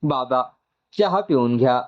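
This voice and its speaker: A man's voice: mid-range voice, expressive, unhurried, dull audio, lightly acted. A man voicing a subdued young woman consoling her parent in an audio storybook. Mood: flat.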